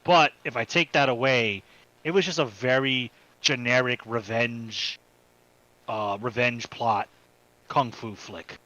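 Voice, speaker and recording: A man co-hosting a podcast, chatting casually in a halting, informal, slangy way. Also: medium pitch, sharp tone, no room sound, lo-fi sound. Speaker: podcast co-host. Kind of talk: casual chat about video game movies.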